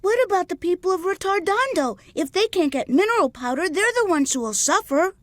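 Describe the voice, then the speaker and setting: A woman's voice: very high-pitched, expressive, brisk, no room sound. A woman voicing a confident, outspoken little boy in a video game dub, matter-of-fact and eager to explain things. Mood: earnest.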